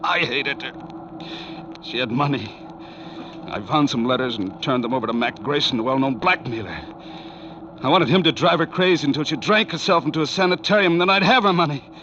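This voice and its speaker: A man's voice: mid-range voice, a little fast, pushed and bright, lightly acted. An American actor playing a bitter, greedy killer who explains his schemes in 1940s radio drama. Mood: bitter, confessing. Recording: noisy, muffled 1940s radio recording.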